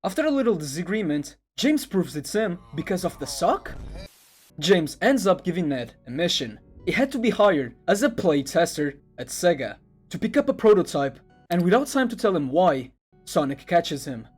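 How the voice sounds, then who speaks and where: Man narrating with lively, swinging pitch. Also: moderate pace, breathy edge. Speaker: video essay narrator. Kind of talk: scripted narration about internet horror videos. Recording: music under the voice. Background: background music.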